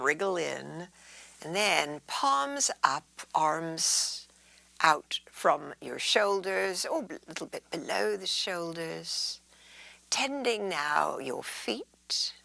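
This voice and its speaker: A woman teaching yoga in a soothing, unhurried way, guiding relaxation and gentle stretches and drifting into breathy near-whispers. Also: lowish voice, animated, no echo.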